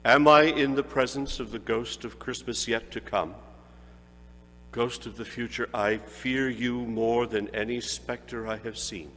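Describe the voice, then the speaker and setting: A man's voice: mid-range voice, steady tone, a little slow, a bit bright. A man voicing a frightened old miser who pleads with a ghost, in a reverberant room with a faint hum. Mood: fearful. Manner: fearful, pleading.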